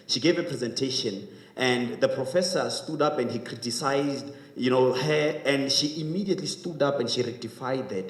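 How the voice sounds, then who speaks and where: A man's voice: mid-range voice, darkish tone. A man with a South African accent giving a formal public speech, emphatic and rhetorical in manner. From South Africa, Johannesburg. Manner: emphatic, rhetorical, repeats questions. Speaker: public speaking class graduate giving a speech. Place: reverberant hall at a community graduation event. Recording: echoey hall, distant microphone.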